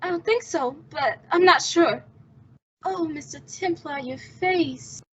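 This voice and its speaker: High-voiced, anxious young heroine played by a woman. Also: slow pace, fairly bright tone. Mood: worried. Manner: earnest, worried, emotional. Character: anxious, devoted young woman protecting her fugitive brother. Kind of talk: old-time radio melodrama acting. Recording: dull, muffled lo-fi sound.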